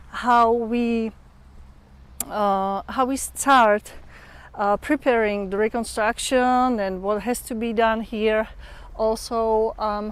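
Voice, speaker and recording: Slow-spoken Czech woman, hesitant English. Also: on the high side. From Czech Republic. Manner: hesitant, searching for English words with many fillers. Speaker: livestream host. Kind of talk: casual livestream chat with viewers.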